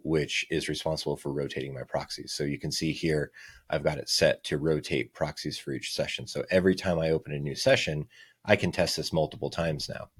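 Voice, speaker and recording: Very deep-voiced man presenting a software demo. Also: breathy edge, no echo, clean studio sound. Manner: explains step by step. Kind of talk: casual screencast tutorial narration.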